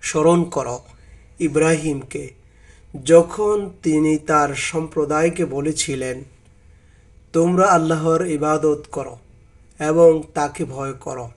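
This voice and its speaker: A man reading out and explaining a translation of scripture as a religious narrator, deliberately and with some repeated phrases. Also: on the high side.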